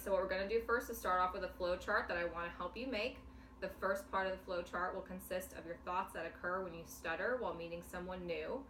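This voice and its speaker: Patient woman clinician in an echoey room. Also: fairly low pitch. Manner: calm, patient, clearly explaining. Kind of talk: demonstration counseling session. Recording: echoey room, mic at a distance, faint noise.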